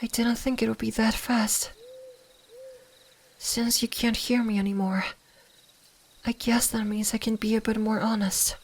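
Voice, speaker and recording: A woman voicing a gentle, lonely young kitsune fox spirit in an ASMR roleplay, half-whispering softly and wistfully like a bedtime storyteller. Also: a little high, flat delivery, unhurried, pauses often. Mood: tender, lonely. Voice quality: soft, breathy, half-whispered.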